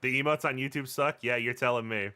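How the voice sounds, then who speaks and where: A man's voice: medium pitch, fairly even intonation, quick pace, bright, forceful tone, slight background noise. A man hosting a game livestream, chatting casually in a rambling, joking, sweary way. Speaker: game streamer, host.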